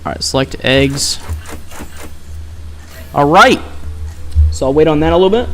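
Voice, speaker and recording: A man's voice: on the high side, lively intonation, a little slow, faint music behind. A man streaming a video game, chatting casually and scolding the game as he plays. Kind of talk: casual gameplay commentary.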